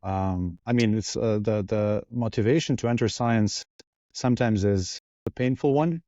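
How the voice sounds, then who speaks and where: A man's voice: fairly low pitch, moderate pace, mellow, dry recording. A man hosting an interview show, friendly and enthusiastic as he asks his guest questions. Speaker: podcast host. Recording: dull lo-fi audio.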